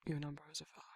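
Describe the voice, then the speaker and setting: A man voicing a gruff but caring werewolf in an intimate ASMR roleplay, with a husky Northern English accent and a hesitant, informal way of talking. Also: bright, forceful tone, no echo. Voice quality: husky, gravelly. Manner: hesitant, informal, stumbling over feelings. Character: gruff but caring young male werewolf, awkwardly protective.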